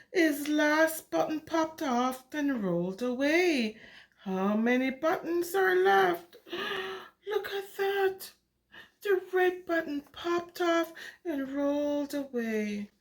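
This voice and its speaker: A woman reads a picture book to young children in a high voice. She takes her time and speaks at an unhurried pace. Her delivery is singsong and exclamatory, swinging widely in pitch as she puts questions to her little listeners. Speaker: children's storyteller reading a picture book aloud. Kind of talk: animated interactive read-aloud for young children.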